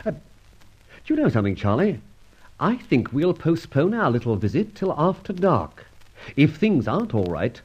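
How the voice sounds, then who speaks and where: A muffled old radio serial recording carries a suave British gentleman adventurer. His voice is husky and animated, and he speaks in polished, wordy sentences with ironic courtesy. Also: a little high, moderate pace, heavily acted. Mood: breezy, decisive. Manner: well-spoken, wordy, ironically polite.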